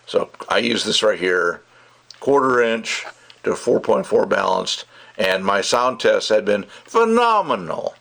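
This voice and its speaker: A man's voice: fairly low pitch, very animated, a little slow, creaky, a bit bright. A middle-aged man giving a casual, unscripted hands-on product review, rambling and thinking aloud as he goes. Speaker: audio gear reviewer.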